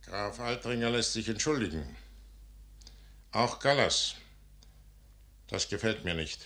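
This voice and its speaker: A proud, forceful army commander. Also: male voice, fairly low pitch, expressive, heavily acted. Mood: brisk, uneasy. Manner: commanding, deliberate, aloof. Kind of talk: classical German radio drama acting.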